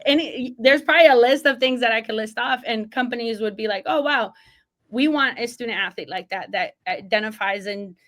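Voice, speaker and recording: A woman's voice: a little high, brisk, fairly bright tone. A woman from Mexico, an associate athletic director, talking as a podcast guest in an earnest, emphatic way, often checking in with "right?". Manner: single mom. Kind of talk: casual interview talk.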